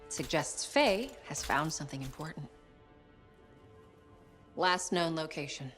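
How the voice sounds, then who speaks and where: Female intelligence chief, deep voice, composed, commanding, measured, video game cutscene, music underneath. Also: lively intonation, a bit bright, heavily acted. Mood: grave, urgent. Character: composed, authoritative female spymaster, measured and guarded. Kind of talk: cinematic game voice acting.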